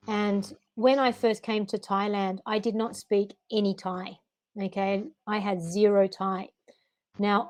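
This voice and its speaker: A woman with an Australian accent, tutoring English in an online session and explaining patiently and encouragingly. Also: medium pitch.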